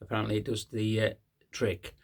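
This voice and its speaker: A hoarse man, hosting a casual post-match fan talk, halting with many ums and uhs. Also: on the low side, monotone. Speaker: fan channel host.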